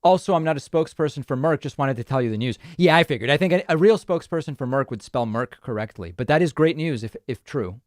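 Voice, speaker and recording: A man's voice: medium pitch, expressive, quick pace, few pauses, no echo. Dry-voiced male talk-show host. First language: Spanish. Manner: dry, dismissive asides. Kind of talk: live-stream talk show chat.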